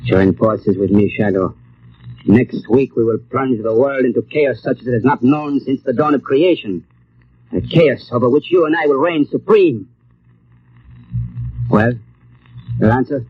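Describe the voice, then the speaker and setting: A man playing a grandiose, menacing villain in a 1940s radio drama, speaking in a slow, pompous, deliberate way. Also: medium pitch, husky. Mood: commanding, menacing. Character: grandiose, menacing male villain plotting world chaos. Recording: muffled old radio recording.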